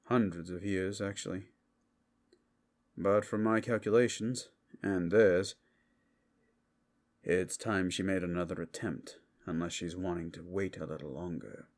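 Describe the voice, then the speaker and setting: A man plays a cautious brothel keeper in a fantasy audio drama. His voice is low and gravelly, and he explains himself in a calm, hushed, unhurried way. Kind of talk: ASMR fantasy roleplay.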